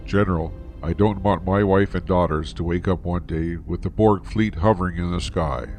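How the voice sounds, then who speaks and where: An actor playing an earnest, loyal starship officer and protective father in an audio drama, grave and deliberate. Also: low voice, fairly even intonation, darkish tone, faint music behind, lightly acted. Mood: grave conviction.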